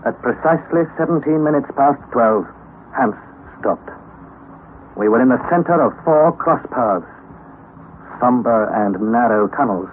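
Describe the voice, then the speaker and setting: A man's voice: mid-range voice, lively intonation, unhurried, long pauses, lightly acted. A man playing an anxious young nephew who narrates a perilous expedition in an old radio drama, earnest and formal. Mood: grave narration. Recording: tinny, noisy old radio recording.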